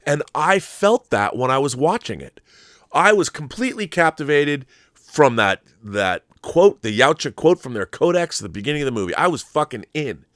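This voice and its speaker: A man's voice: a little high, big pitch swings, moderate pace, a bit bright, no echo, clean studio sound. A man hosting a film commentary show, talking in a casual, opinionated monologue with an effusive, emphatic, rambling manner and some swearing.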